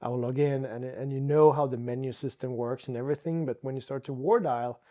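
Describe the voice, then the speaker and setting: A man from Sweden with a Swedish accent, a guest telling his story in a relaxed chat, with frequent restarts and fillers. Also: mid-range voice, a little fast, dull audio. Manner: talks with many restarts and "you know" fillers.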